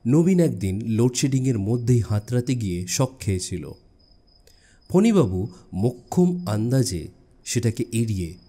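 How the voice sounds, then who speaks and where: A man narrating a scripted suspense story in a measured, dramatic way with deliberate pauses. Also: lowish voice, mellow. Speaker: audiobook narrator.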